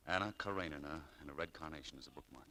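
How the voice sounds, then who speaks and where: An actor playing a proud, bitter young shop clerk in a 1940s radio drama, needling and prone to stammering, on a noisy old recording. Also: male voice, extremely low pitch, very fast, pushed and bright. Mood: dejected.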